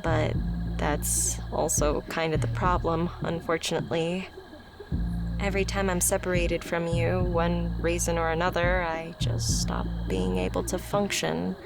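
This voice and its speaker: A woman voicing a shy, anxious young woman who confides haltingly in a close friend, in an ASMR roleplay. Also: fairly low pitch, creaky. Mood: downcast, anxious. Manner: hesitant, halting, soft-hearted confiding. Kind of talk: ASMR roleplay audio drama. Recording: music behind the voice. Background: music.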